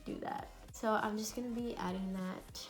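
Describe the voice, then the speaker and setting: A young woman with a raspy, congested voice from a cold, chatting bubbly through a makeup tutorial at home. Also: mid-range voice, fairly even intonation, moderate pace, music in the background. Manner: chatty and bubbly, many fillers. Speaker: beauty vlogger. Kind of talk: casual makeup tutorial chat.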